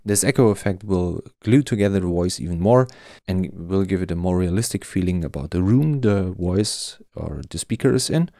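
A man presenting an explanatory tutorial, walking through things step by step in a measured way. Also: sits low, lively intonation, mellow, dry recording, studio quality.

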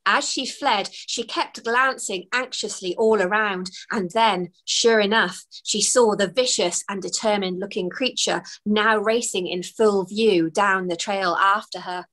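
Bright, expressive storytelling woman. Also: mid-range voice, measured pace, hardly any pauses. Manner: expressive, with gasps and small laughs. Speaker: children's book author reading aloud. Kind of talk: storybook narration. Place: home room with bookshelves. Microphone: webcam on a video call.

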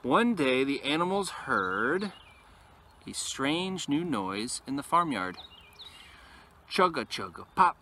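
A man reading a picture book aloud expressively to young listeners, with casual, quieter asides, recorded outdoors. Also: medium pitch, sing-song, slow, pauses often. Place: outdoors in an open field. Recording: noisy outdoor recording with ambient sound.

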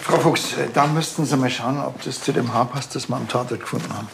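An actor playing a wry police detective, casual and dry. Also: male voice, medium pitch, fast talker, creaky, background noise, lightly acted.

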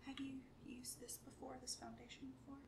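Soft-spoken ASMR woman, distant and noisy. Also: high-pitched, flat delivery, fairly quick, creaky. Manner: hushed, gentle, often slipping into whisper. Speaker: ASMR role-player as a beauty shop checkout clerk. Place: small room indoors at a table. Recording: roomy, distant mic, loud background noise.

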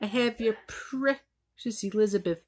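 Woman playing a slow, haughty villain witch. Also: mid-range voice, a little mellow, close to an everyday voice. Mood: threatening. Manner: mocking, haughty. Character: gloating, taunting villain witch in anime. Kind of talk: amateur anime fandub.

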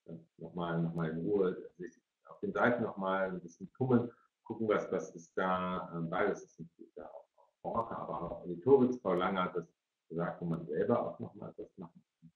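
A man's voice: low voice, fairly quick. A middle-aged man moderating a webinar informally, repeating words as he forms his questions. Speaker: moderator of an online parents' evening. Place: indoor room on a video call. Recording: echoey room, dull video-call audio.

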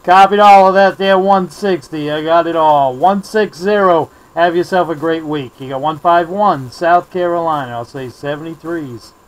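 A man's voice: a little high, moderate pace, hardly any pauses, very noisy. An American man working as a CB radio operator, trading call signs and friendly, courteous sign-offs. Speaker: CB radio operator, video maker. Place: indoor radio desk.

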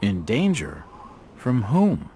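An actor playing a wry, persistent hard-boiled detective in a video game, sardonic and probing. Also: male voice, fairly low pitch, huge pitch swings, slow, mellow, slight background noise, full character voice.

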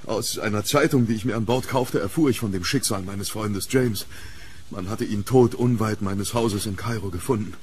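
A man playing a fearful, hunted gentleman in a German horror radio play, speaking with dramatic urgency. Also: fairly low pitch, normal speed, slight background noise, lightly acted. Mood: grim. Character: hunted, fearful English gentleman haunted by a demon.

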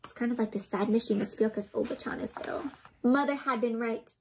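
A young woman doing an interactive storybook read-aloud for children, expressive and gently teacherly, often putting questions to her listeners. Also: a little high, fairly quick, creaky, a little hiss or hum, low-quality recording. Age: young adult. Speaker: children's storybook reader and host. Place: small indoor room with books and plants.

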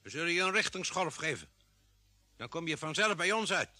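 An actor playing a commanding space-expedition doctor in an old radio drama. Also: male voice, a little high, expressive, a little fast, sharp tone. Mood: firm, instructing. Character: expedition doctor, steady commanding man on Mars. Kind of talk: 1950s Dutch radio drama acting.

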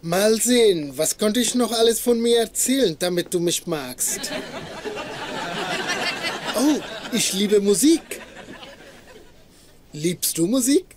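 High-pitched lovestruck young man. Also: expressive, slow, pauses often, crowd noise. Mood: eager, smitten. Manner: eager, flowery, pleading. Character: lovestruck, boastful, insecure young Indian man on a date. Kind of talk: German sitcom dub. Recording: sitcom soundtrack with audience noise.

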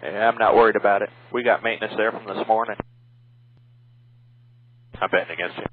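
A man's voice: on the low side, fairly even intonation, fairly quick, a little hiss or hum. A man who is an airline pilot, talking casually and jokingly over the radio. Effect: radio transmission. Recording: tinny, phone-like cockpit radio.

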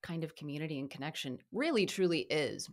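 A woman's voice: sits low, lively intonation, fast talker. A woman hosting a radio arts interview show, talking in a friendly, enthusiastic way. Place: radio studio.

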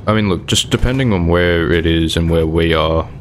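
A man plays a wary zombie-apocalypse survivor full of questions. His voice is deep, and faint music runs behind the game dialogue. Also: normal speed, close to an everyday voice. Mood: questioning. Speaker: player in a roleplay skit. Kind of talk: improvised game roleplay dialogue.

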